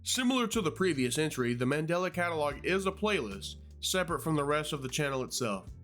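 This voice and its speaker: Guy with a slightly high voice doing youtube video essay narration, kinda playful and chatty, bit of music behind. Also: big pitch swings, brisk, fairly bright tone. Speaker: YouTube host.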